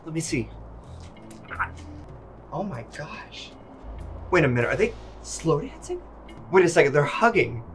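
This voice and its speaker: Higher-pitched actor as hurt young man. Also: very animated, measured pace, long pauses. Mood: shocked. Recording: film audio with music underneath.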